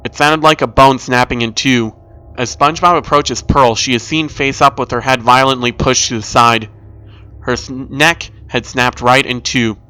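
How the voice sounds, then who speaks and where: Bright-voiced man narrating a horror story. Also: mid-range voice, steady tone, normal speed, clean tone, low-quality recording. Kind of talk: scripted first-person horror story narration.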